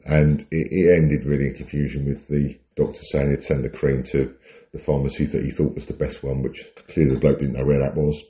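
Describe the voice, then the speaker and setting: A man's voice: rumbling low, warm tone, lo-fi sound. A British man chatting casually as a podcast co-host, telling anecdotes in a wry, rambling way.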